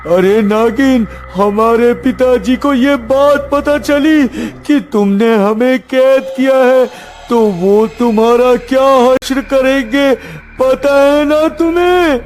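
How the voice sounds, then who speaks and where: A man voicing a defiant captive boy prince in a cartoon fairytale, with a shrill, indignant manner. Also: slow, darkish tone, soft background music.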